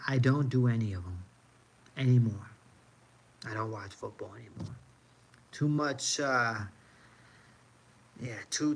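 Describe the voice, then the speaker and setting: A man from New York City with an American accent, ranting casually on a livestream in an emphatic, repetitive way. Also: mid-range voice. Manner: emphatic, repeats himself for effect. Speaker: live streamer.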